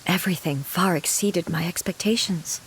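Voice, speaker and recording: A woman playing a solemn, ageless warrior ruler in a video game, speaking formally and gravely about old grief. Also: fairly low pitch, a little hiss or hum, close to an everyday voice, poor audio. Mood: reflective, resolved. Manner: formal, measured, grave delivery. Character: solemn, ageless female warrior ruler grieving lost friends. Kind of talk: scripted video game voice acting.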